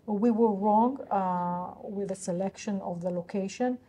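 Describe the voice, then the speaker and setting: Mellow-voiced woman, halting businesslike delivery. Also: mid-range voice. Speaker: company executive answering investor questions. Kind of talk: unscripted earnings call answers.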